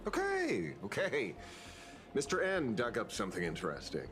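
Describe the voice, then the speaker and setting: A man's voice: medium pitch, huge pitch swings, normal speed, fairly bright tone, a little hiss or hum. An actor playing a self-assured male private detective in a video game, theatrical, musing and playful. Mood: playful, confident. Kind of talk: dramatic video game dialogue.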